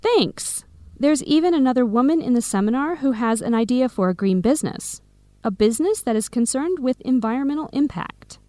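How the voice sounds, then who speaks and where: Enthusiastic young friend, raised pitch, clear phrasing, dry radio drama studio sound. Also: female voice, expressive, brisk, dark tone, dry recording. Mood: eager, chatty. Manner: clearly phrased scripted explanations. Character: Samira, Helen's enthusiastic American best friend, aspiring business owner. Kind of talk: English-learning radio drama acting.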